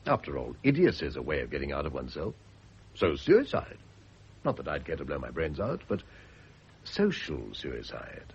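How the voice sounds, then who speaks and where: Middle-aged british gent in old radio play, deep swooping voice, urbane but evasive. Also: male voice, wildly swooping pitch, normal speed, vocal fry, husky, exaggerated voice. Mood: brooding, cynical. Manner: hesitant, urbane, falsely breezy. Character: evasive, self-deluding middle-aged English gentleman, newly married. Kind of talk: 1970s radio drama acting. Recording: dull, noisy lo-fi old radio recording.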